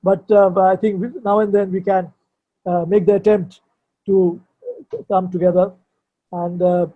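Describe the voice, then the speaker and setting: High, husky older man. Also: little pitch movement, normal speed, narrow, tinny audio. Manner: hesitant, repetitive, searching for words. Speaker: poet and sculptor, guest at a book launch. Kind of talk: informal explanation at an online book launch.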